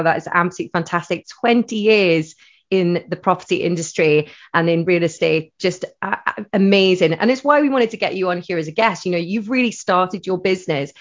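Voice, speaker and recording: A woman hosting a business podcast interview, effusive and upbeat. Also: on the low side. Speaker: podcast host. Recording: dull lo-fi sound with music behind.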